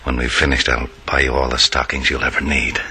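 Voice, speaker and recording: An actor playing a cold, smooth-talking male schemer in an old radio crime drama, sly and menacing. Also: rumbling low, completely flat delivery, a little fast, bright, forceful tone, full character voice. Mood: scheming. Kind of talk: 1940s-50s radio crime drama acting. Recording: old radio recording, faint noise.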